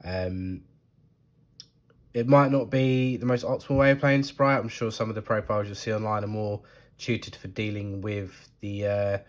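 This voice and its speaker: Guy, low-ish, stuffed up with a cold, rambly and hesitant, lots of ums. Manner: hesitant, full of ums and restarts. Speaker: card game deck reviewer. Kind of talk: casual talk to camera.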